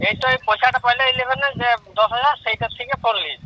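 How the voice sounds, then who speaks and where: A woman's voice: a little high, fairly even intonation, fast. A woman with a Purulia Bengali accent firmly protesting in a casual phone conversation. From Purulia, West Bengal, India. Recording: very noisy recorded phone call.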